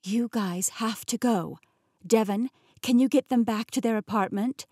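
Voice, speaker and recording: A woman's voice: fairly high pitch, unhurried, darkish tone, slightly rough, no echo. Brief: anxious aunt hurrying guests out, voiced by a female narrator, dry studio sound. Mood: urgent. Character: urgent aunt, anxious woman.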